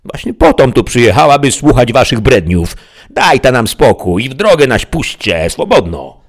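A coarse peasant woman shouts and scolds at high pitch, as a male narrator puts her on. Her voice keeps a husky edge through the yelling. She is a rough country girl in a storybook reading. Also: sing-song, moderate pace. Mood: scolding. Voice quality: shrill shouting.

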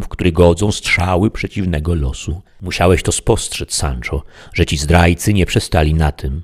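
A gloomy knight laments in lofty, formal speech, as a male narrator voices him. His voice sits very deep with a husky grain. He is a solemn hero of a storybook. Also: a little mellow. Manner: grandiloquent, mournful.

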